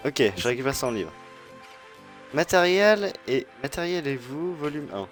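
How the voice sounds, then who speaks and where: A young man chatting casually and excitedly while playing a video game with a friend. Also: on the high side, unhurried, faint music behind. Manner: lively, jokey, bursts into loud exclamations. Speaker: Minecraft let's player, channel host. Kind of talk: casual gaming chat with a friend.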